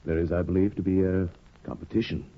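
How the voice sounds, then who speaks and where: A man playing a smooth, sly middle-aged judge in a 1950s radio drama, suave and measured. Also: deep voice, steady tone. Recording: dull old radio broadcast.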